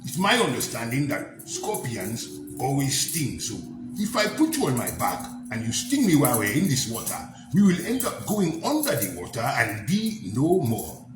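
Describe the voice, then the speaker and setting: A careful grown crocodile, voiced by a man, weighs every word in a slow, deliberate manner. The voice rings with echo from a roomy space, and music plays under it. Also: mid-range voice, wildly swooping pitch. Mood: wary. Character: wary grown male crocodile, measured and cautious.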